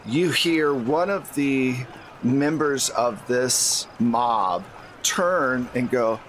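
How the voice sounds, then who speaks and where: A man's voice: mid-range voice, drawn-out words, a bit bright, low-quality recording. A man narrating an improvised tabletop game as game master, with a playful, teasing way of talking. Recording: faint background noise.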